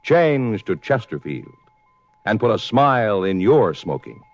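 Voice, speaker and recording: An American man delivering a polished, emphatic sales pitch as a commercial announcer on 1950s radio. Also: very animated, unhurried, soft background music. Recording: slightly dull, dry vintage radio recording.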